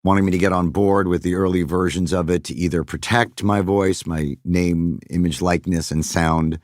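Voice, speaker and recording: A man's voice: sits low, steady tone, normal speed, a little mellow, no room sound, studio quality. A middle-aged man, a voice actor, musing on his craft in a podcast monologue and slipping now and then into character voices.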